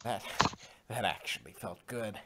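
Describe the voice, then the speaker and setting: A man's voice: lowish voice, animated, measured pace, a bit bright, slight background noise. A man voicing a fed-up, indignant henchman stallion who has turned defiant, in a fan fiction dramatic reading. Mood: relieved. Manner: indignant, emphatic.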